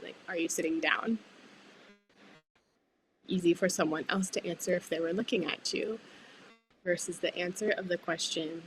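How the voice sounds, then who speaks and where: A woman leading a guided breathwork meditation, speaking gently and soothingly with unhurried pauses. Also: on the low side, rough recording. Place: live online group call.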